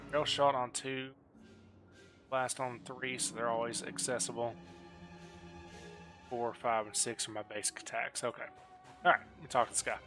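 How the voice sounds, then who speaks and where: A man giving casual, jokey, sometimes sweary let's play commentary as he plays. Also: mid-range voice. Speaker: gaming video host. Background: game music.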